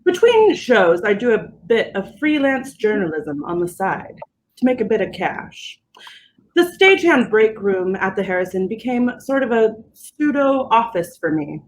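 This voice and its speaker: A woman narrates a scripted story in the first person. Her voice is of medium pitch, with a faint layer of noise behind it. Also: very animated, measured pace, rough recording.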